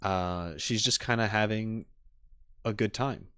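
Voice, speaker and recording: A man's voice: fairly low pitch, measured pace, pushed and bright, clean tone, no echo, clean studio sound. A man hosting a stream, giving casual, enthusiastic and analytical reaction commentary in a rambling way as he talks with chat. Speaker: streamer host.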